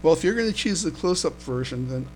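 A man's voice: a little high, expressive, quick pace, slight background noise. A man hosting a casual fan podcast, chatting hesitantly and often stammering and repeating his words. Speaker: podcast host.

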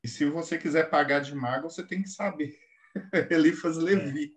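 A man's voice: a little high, moderate pace. A Brazilian-accented man co-hosting a casual podcast chat, joking and playful. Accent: Brazilian Portuguese. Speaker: podcast co-host.

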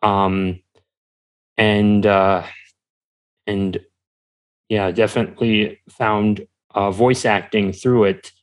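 A young man answering as an interview guest, talking casually in a hesitant, halting way. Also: fairly low pitch, fairly even intonation, poor audio.

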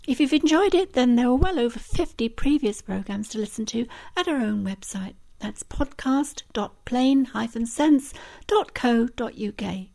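A woman hosting a podcast, reading a scripted sign-off and spelling out web addresses in a measured way. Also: fairly high pitch, lively intonation, vocal fry, mellow. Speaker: podcast host.

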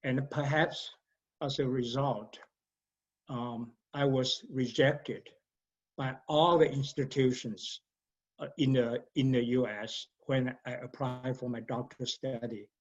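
A man with a Taiwanese accent, a professor speaking in a reflective conversation, talking deliberately and often repeating words as he thinks. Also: mid-range voice, fairly even intonation, slightly rough, muffled recording. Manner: deliberate, halting, frequent repetitions and fillers.

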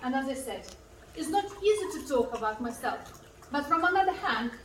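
A woman's voice: high voice, expressive, normal speed. A woman with a Lithuanian accent giving a personal motivational speech to an audience. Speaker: conference speaker. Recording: echoey hall, distant mic, faint noise.

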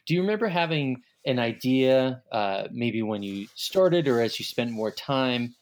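Tentative man interviewing, medium pitch. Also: animated, a little slow. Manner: tentative, rephrasing questions as he goes. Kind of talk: conversational oral history interviewing.

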